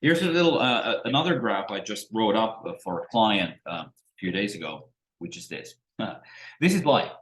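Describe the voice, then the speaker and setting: A middle-aged man, teaching in a halting, musing way with many restarts, talking to a webcam at his desk. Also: on the low side, animated, fairly bright tone. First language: Finnish. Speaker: spiritual teacher. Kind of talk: unscripted talk to camera. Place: small room at home, indoors. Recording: roomy echo.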